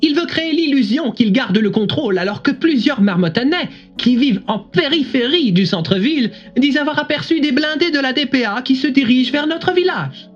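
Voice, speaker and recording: An actress playing a young, critical, outspoken journalist giving a radio interview in an audio drama, with broadcast-style sound. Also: female voice, high voice, animated, a little fast, hardly any pauses, breathy edge, highs cut off, faint music behind. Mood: grave, critical.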